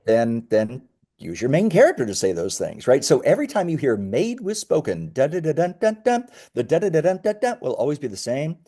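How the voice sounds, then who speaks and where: A man's voice: fairly high pitch, big pitch swings, brisk, warm tone. A man speaking as a company founder in an interview, explaining conversationally with emphatic, self-correcting phrasing.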